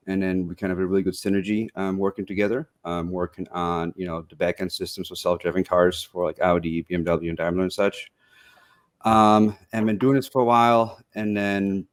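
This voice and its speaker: A man talking casually as an investor guest in a podcast interview. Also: low voice.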